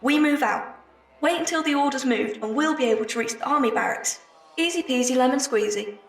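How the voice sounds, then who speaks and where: A woman playing a plucky, sharp-witted young street girl in an audio drama, cheeky, keen and colloquial. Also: high-pitched, fairly quick, creaky, distant mic. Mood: eager, explaining. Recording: echoey room, off mic, faint noise.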